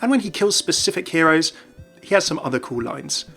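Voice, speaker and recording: Fast, animated male gaming host. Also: a little high, creaky, slightly rough, faint music behind. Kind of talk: enthusiastic scripted narration.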